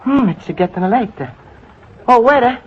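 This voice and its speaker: An actor playing an earnest, broke Italian immigrant man on a date, speaking halting broken English with an Italian accent in 1940s radio comedy style. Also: very high-pitched, husky, exaggerated voice. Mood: earnest, flustered. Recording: dull old radio recording with faint noise.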